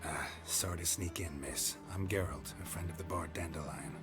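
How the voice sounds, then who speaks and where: An actor playing a stoic, world-weary monster hunter in a video game, with a terse, deadpan delivery. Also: male voice, low voice, moderate pace, lightly acted. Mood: dry, polite. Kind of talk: video game dialogue acting. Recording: music loud behind the voice.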